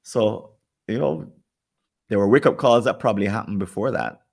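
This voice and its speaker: A man's voice: fairly low pitch, moderate pace, gravelly, a little mellow, husky. A man with a Jamaican accent co-hosting a podcast, talking casually and rambling, repeating words as he thinks. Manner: rambling, repeats words while thinking, acts out quoted people. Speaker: podcast co-host.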